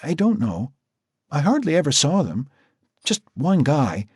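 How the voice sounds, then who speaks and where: A scared teenage runaway girl, put on by a male narrator, her voice pushed high and trembling. She stammers and breaks into sobs, the tone husky and breathy. The sound is dry and close with no room around it. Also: wildly swooping pitch, unhurried, darkish tone, dry recording, heavily acted. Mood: tearful. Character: frightened teenage runaway girl, tearful and jumpy.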